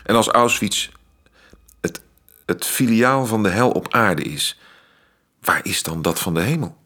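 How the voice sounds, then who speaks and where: An actor playing a cultured, brooding, articulate man in a radio play, with weighted, halting phrasing. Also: fairly low pitch, animated, pushed and bright. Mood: grave, haunted.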